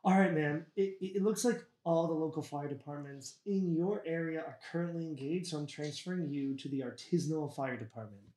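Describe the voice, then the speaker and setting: A man reading the part of a calm, procedural emergency dispatcher in a comedy sketch. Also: a little high, a little fast, hardly any pauses, lightly acted. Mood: calm, businesslike. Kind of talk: comedy sketch table read. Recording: roomy echo, indoor room.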